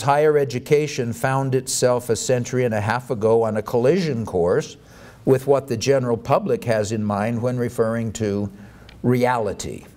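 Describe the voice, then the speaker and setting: A man's voice: medium pitch, a little mellow. Middle-aged man reading a formal lecture. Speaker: academic lecturer. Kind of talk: formal lecture read aloud from a script. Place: indoor conference hall, at a lectern. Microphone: boom microphone on the lectern.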